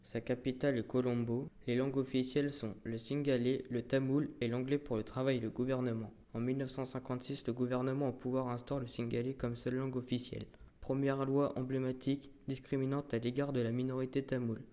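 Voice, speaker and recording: Guy reading a factual voiceover, monotone, soft dark tone. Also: mid-range voice, quick pace. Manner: factual, read aloud. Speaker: narrator. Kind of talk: scripted documentary narration.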